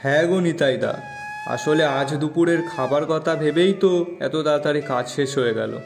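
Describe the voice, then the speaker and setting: Man acting an eager villager over clear music. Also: on the high side, brisk. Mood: agreeable. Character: eager, food-loving village friend, younger man. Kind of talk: Bengali moral-story cartoon dubbing.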